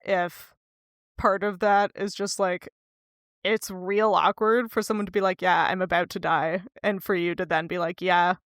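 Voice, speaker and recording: A woman's voice: lowish voice, flat delivery, moderate pace, fairly bright tone, dry recording. Casual woman co-hosting, close dry sound. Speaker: podcast co-host. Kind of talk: casual book discussion.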